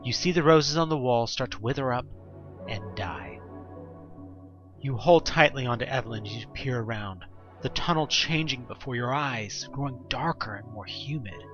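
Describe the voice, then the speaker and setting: A man's voice: medium pitch, expressive, moderate pace, fairly bright tone, muffled recording, soft background music. A man narrating an audio drama as a scripted second-person story, with theatrical, emphatic storytelling.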